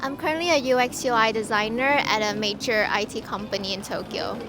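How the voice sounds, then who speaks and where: A woman from Vietnam answers briskly in a fairly high voice. Steady noise is clearly heard behind her. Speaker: designer. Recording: noticeable background noise.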